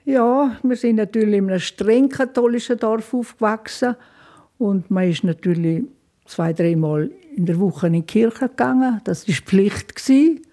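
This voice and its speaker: An older woman with a Swiss German accent, recalling her childhood in a thoughtful interview, with frequent fillers and soft laughs. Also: mid-range voice. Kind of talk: reflective life-story interview.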